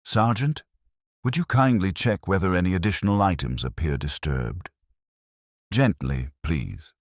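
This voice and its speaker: A man voicing a polite, unflappable police inspector in a storybook mystery, gentle and precise. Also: low voice, expressive, a little slow, no echo, clean studio sound, full character voice. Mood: calm, inquiring. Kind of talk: storybook character dialogue.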